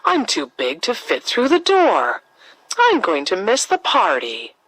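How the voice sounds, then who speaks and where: A woman's voice: medium pitch, huge pitch swings, measured pace, a bit bright, husky, no room sound, full character voice, poor audio. A woman voicing a curious, determined young girl in a children's storybook, expressively thinking aloud. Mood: let down.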